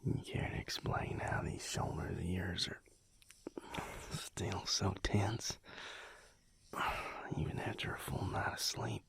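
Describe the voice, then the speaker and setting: A man playing a tender, teasing vampire lover in an intimate audio roleplay, murmuring breathily and soothingly with drawn-out pauses, close to the microphone. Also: deep voice, steady tone, a bit bright, exaggerated voice. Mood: playful, soft. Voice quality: breathy, gravelly whispered murmur.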